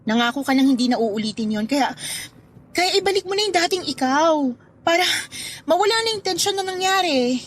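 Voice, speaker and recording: A woman's voice: very high voice, expressive, brisk, a little hiss or hum. A woman playing a hurt but forgiving young girlfriend in a Tagalog radio drama, speaking earnestly and reproachfully. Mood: coaxing.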